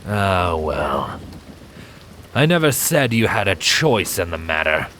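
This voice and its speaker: A man voicing a cold, threatening male pony assassin leader in a story reading, speaking slowly with a low warning tone. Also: big pitch swings, pushed and bright, a little hiss or hum, heavily acted. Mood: cold menace. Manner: slow, low, warning delivery.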